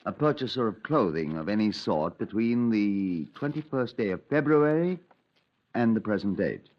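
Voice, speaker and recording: An actor playing a polite, persistent police detective inspector in a 1950s radio drama, formal and courteous. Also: male voice, lowish voice, lively intonation, moderate pace, close to an everyday voice. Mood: firm. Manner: formal and courteous, calling others sir. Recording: dull old radio recording.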